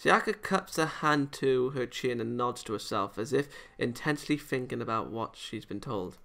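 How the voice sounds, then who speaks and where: A man's voice: medium pitch, lively intonation, normal speed, vocal fry, pushed and bright. A man hosting a visual novel let's play, reading aloud and breaking into rambling, emphatic, opinionated commentary. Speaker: gaming video host.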